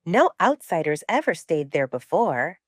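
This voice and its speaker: A woman narrator plays a young female werewolf in a romance audiobook. She is sure of herself, doubting and opinionated, with animated pitch and a dry, close sound. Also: unhurried, dry recording, heavily acted. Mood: skeptical. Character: confident, skeptical young female werewolf pack member. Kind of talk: audiobook romance drama acting.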